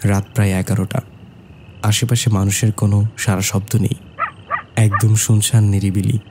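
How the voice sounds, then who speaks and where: A man playing a bold, mischievous young man who narrates and acts in an animated horror tale. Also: lowish voice, normal speed, noisy background, close to an everyday voice. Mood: suspenseful. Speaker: narrator and character. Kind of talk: scripted horror cartoon narration and dialogue.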